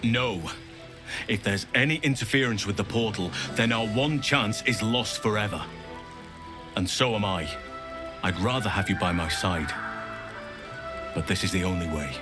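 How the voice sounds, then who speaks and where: An actor playing a grave, steady old druid in a fantasy game, speaking in a solemn, measured and earnest way. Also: male voice, fairly low pitch, over music, natural voice. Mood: grave, determined. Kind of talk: dramatic fantasy game acting.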